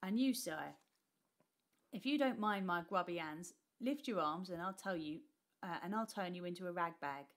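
A woman reading a storybook aloud, putting on a rough, mocking old widow of the London poor who talks coarse and brash. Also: lowish voice, normal speed, close to an everyday voice. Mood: scornful. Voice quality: coarse, scoffing delivery with dropped sounds.

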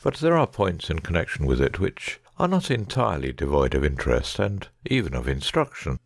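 A man playing a keen, analytical gentleman detective in a dramatic audiobook reading, precise, discursive and wry. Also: deep voice, big pitch swings, brisk, creaky, no echo, studio quality, exaggerated voice. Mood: genial, interested.

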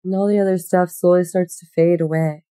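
A woman guiding a meditation in a gentle, unhurried, soothing manner with long pauses. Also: lowish voice, fairly even intonation, clean tone, no room sound, studio quality. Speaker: yoga nidra meditation guide. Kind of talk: guided meditation.

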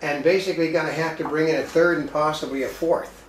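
A man's voice: fairly high pitch, fairly even intonation, quick pace, recorded from a distance, a little hiss or hum. A man bantering casually on a live home cooking show, rambling with dry self-mocking jokes. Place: home kitchen, indoors. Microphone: distant camera mic. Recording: roomy kitchen sound from afar.

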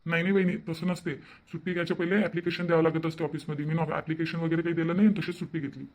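A man's voice: on the high side, flat delivery, rapid-fire, lightly acted. A man voicing an easygoing, moody young brother-in-law in a cartoon, casual and repetitive, in Varhadi-accented Marathi. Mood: reluctant, fretful. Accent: Varhadi Marathi, Vidarbha. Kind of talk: amateur cartoon voice-over.

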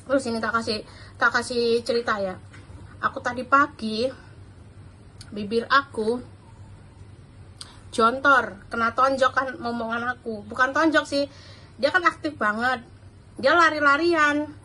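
A bright, high-pitched woman with a thick Javanese accent talks casually to the camera over breakfast. She chatters on easily and laughs often. The sound comes from a phone set on the counter in a large kitchen. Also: fairly even intonation, unhurried, long pauses. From Java, Indonesia. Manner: chatty, laughs often, mimics others' voices. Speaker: domestic worker vlogger. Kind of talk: casual chat to camera while eating breakfast. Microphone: phone camera on the table.

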